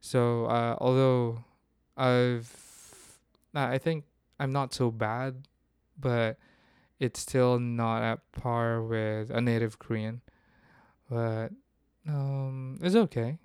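A man's voice: mid-range voice, steady tone, extremely slow, darkish tone, clean tone, dry recording, clean studio sound. A man from the Philippines, hosting a casual solo podcast and thinking aloud with frequent restarts. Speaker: podcast host.

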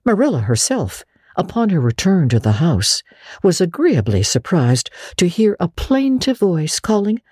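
Female audiobook narrator: deep-toned, slightly raspy, lively and dramatic in delivery, for classic literature. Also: measured pace, no room sound, clean studio sound.

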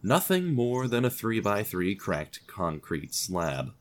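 A man's voice: on the low side, very animated, takes it slowly. A man narrating scripted audio fiction in a dramatic storytelling style.

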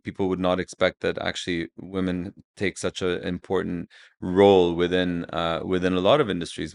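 Deep, smooth-voiced man, chatty guest. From Saudi Arabia. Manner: fast-talking, many restarts and "you know". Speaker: guest, agency co-founder. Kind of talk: casual interview conversation.

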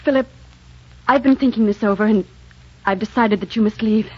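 An actress playing an earnest, secretive romantic heroine in a 1940s radio drama. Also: female voice, medium pitch, moderate pace, dark tone, husky, close to an everyday voice. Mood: anxious, resolved. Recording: muffled, noisy 1940s radio broadcast.

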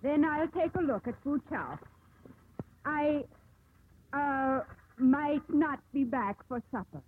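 A strong-willed young woman who commands a merchant ship. Her voice is high, crisp and commanding, in the manner of 1950s radio drama. The recording is old and muffled. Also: animated, slow, slight background noise. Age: young adult.

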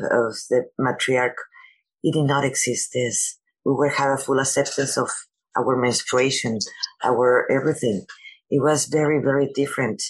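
A woman with a non-native English accent hosting a conversational interview, halting and rephrasing as she goes. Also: extremely low pitch, husky, poor audio. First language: not English. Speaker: host, interviewer.

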